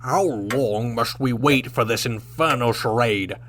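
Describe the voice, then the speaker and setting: A man's voice: mid-range voice, unhurried, natural voice. A man playing a proud, hot-tempered Klingon warrior captain in a sci-fi radio drama, with indignant, formal, old-fashioned phrasing. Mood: impatient, threatening. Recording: faint background noise.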